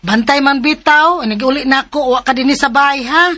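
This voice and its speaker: A woman's voice: sits high, fairly quick, pushed and bright. A woman playing a stern, scolding mother in a radio drama, with a Cebuano accent. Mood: nagging.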